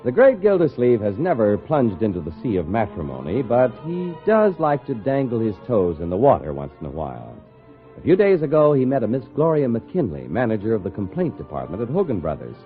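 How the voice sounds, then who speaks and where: A man's voice: mid-range voice, huge pitch swings, brisk, soft background music. An American man announcing in scripted 1950s radio style, delivering adverts and story introductions. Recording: muffled old radio broadcast.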